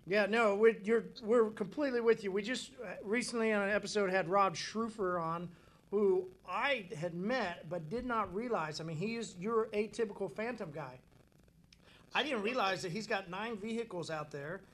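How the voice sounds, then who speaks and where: A man's voice: high voice, normal speed, a bit bright. A man in his forties hosting a casual chat, keen, asking questions and summing up what his guests say. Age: 40s. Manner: enthusiastic, asks questions and sums up others' points. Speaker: podcast host.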